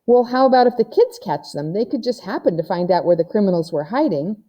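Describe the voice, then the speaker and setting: A woman's voice: medium pitch, animated, highs cut off, natural voice. A woman voicing a haughty, imaginative young girl who puts on airs in a storybook. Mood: eager. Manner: scornful, affected, quick to chime in.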